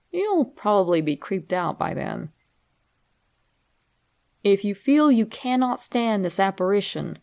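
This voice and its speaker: Slow-paced woman narrating horror. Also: fairly low pitch, darkish tone. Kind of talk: creepypasta story reading, instructional scripted narration.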